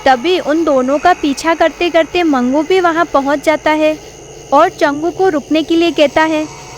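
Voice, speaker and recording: A woman giving scripted narration for an animated horror story. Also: high-pitched, a little slow, slight background noise.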